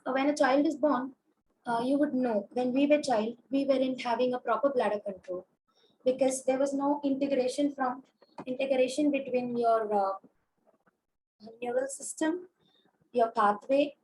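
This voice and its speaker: A woman with an Indian English accent lectures over a video call, her high voice hoarse from a throat infection. She explains step by step with frequent fillers and restarts. A faint hiss sits under the call. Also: moderate pace, darkish tone, low-quality recording. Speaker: consultant physiotherapist. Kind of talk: webinar lecture.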